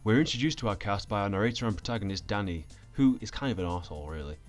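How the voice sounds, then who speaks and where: Male essayist, lowish voice, sardonic and sweary, scripted delivery with light music behind. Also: very fast. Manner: deadpan, sweary. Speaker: video essayist. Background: background music.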